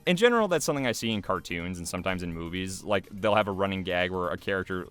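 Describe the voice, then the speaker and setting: Low, smooth-voiced man, chatty. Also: expressive, fast, fairly bright tone. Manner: chatty, rambling, self-effacing. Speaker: video creator giving commentary. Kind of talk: casual behind-the-scenes commentary. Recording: music playing behind the voice. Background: music.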